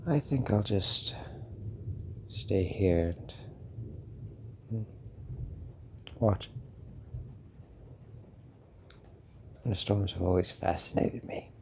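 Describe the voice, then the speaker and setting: An actor playing a centuries-old, fatherly male vampire in an intimate audio roleplay, speaking haltingly and musingly with hums and long pauses. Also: deep voice, creaky, darkish tone, slight background noise, full character voice. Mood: calm, caring.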